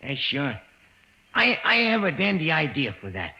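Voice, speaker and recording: An actor in an old-time radio drama playing a slow-witted, eager, servile night watchman who stammers and repeats his words. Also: fairly high pitch, big pitch swings, vocal fry, background noise. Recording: dull lo-fi old radio recording.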